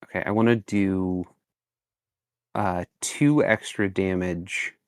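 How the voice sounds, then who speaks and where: A man with a lowish voice plays a tabletop roleplaying game. He speaks slowly and hesitantly, thinking aloud as he picks his options. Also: fairly even intonation, no room sound, clean studio sound. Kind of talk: casual game-table talk.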